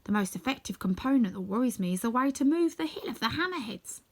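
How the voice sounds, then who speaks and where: A cheerful straw-stuffed scarecrow fellow, played by a woman reader. The storybook voice is high and simple-hearted, lifting eagerly. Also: expressive, fast. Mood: contented. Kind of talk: children's storybook read-aloud.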